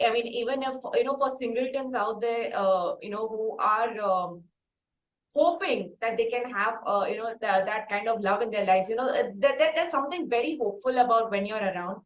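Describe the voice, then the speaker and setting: Female interview host, Indian accent, higher voice, wordy and halting, recorded in an echoey room. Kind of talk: conversational music interview. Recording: echoey room, faint background noise.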